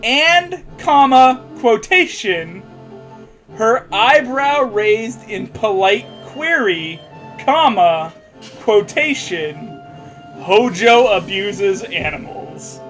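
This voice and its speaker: A man with a notably high voice reads aloud in a mocking, sarcastic way. He drops into casual banter and pronounces the punctuation as he goes. Music plays faintly behind him. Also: lively intonation, extremely slow, a bit bright. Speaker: host reading fan fiction aloud.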